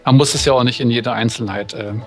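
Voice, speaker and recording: Halting man chatting over light music. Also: mid-range voice, steady tone, fairly bright tone. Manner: halting, many mid-sentence pauses while translating. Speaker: let's play co-host. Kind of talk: casual translating of visual novel text and chat. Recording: music playing behind the voice.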